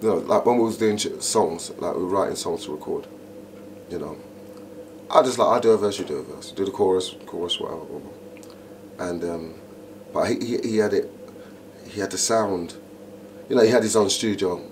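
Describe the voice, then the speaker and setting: A middle-aged man, an MC telling stories in an interview, animated and full of "you know". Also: low-pitched, fairly quick. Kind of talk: interview, casual storytelling. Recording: noticeable background noise.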